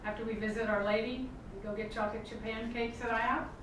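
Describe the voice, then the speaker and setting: A woman playing a blunt but supportive grieving daughter in a staged play reading, with brisk conversational delivery. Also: a little high, steady tone, natural voice. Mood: troubled. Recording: echoey room, far mic, clear background noise.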